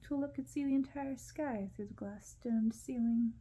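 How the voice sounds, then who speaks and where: A woman narrating a storybook in ASMR style, gentle, hushed and unhurried. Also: on the high side, slightly rough. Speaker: ASMR creator reading a novel.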